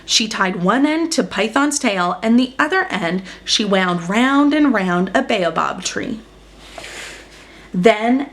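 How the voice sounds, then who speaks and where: Woman, children's story narration, unhurried, expressive, highly varied pitch, dramatic emphasis. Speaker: storyteller reading a picture book aloud.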